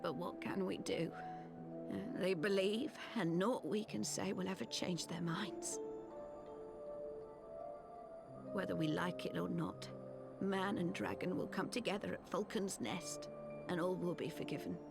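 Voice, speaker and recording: A sorrowful, embittered mother mourns in a fantasy game. Her voice is creaky and formal in its wording, set over loud music. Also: on the low side, moderate pace. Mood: bitter resignation. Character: grieving, embittered mother of a fallen soldier. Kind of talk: video game voice acting.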